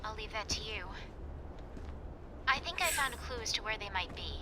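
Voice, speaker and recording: A woman's voice: medium pitch, lively intonation, normal speed, background noise, lightly acted. A woman acting a loyal, composed intelligence operative in a video game cutscene, assured and businesslike. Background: loud music, vehicle sounds.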